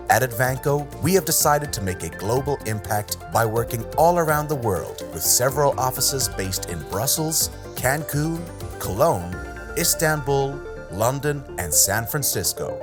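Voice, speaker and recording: A narrator reading a scripted corporate promotional video in a polished, smooth delivery. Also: medium pitch. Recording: voice-over with music behind. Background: music.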